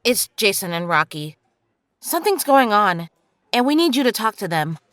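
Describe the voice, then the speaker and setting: A woman's voice: mid-range voice, sing-song, moderate pace, fairly bright tone. A woman playing a blunt, fed-up teenage heroine in an audio drama, insistent and conversational.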